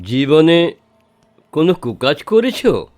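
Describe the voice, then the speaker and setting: Man playing a commanding, animated tantric guru. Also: on the high side, slow pace, faint music behind. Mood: grave, probing. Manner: authoritative, interrogating. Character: commanding male tantric guru, blunt and probing. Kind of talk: audio drama acting.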